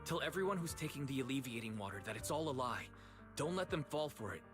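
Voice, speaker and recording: A composed, thoughtful young investigator played by a man in a video game. His voice sits at an average male pitch, measured and sincere, with music clearly behind it. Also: expressive, lightly acted. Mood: calm, serious. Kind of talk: video game dialogue acting.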